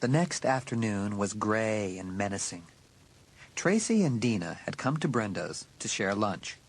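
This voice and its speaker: A man with a husky voice giving suspenseful, scripted narration of a dramatised audiobook. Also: on the low side, low-quality recording. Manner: suspenseful storytelling.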